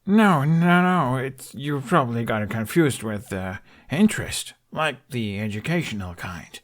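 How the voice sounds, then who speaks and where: A man playing an awkward, earnest young dragon rider in an audiobook, halting and self-justifying. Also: mid-range voice, lively intonation, pitched above the performer's own voice, natural voice. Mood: flustered.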